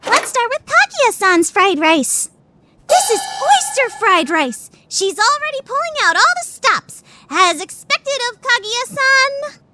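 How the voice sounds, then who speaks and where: Teenage girl food judge, anime radio play: very high, theatrical, grandiose, wildly animated. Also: slight background noise, heavily acted. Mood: grandiose, gushing. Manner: grandiose, gushing, dramatic pauses. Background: background music.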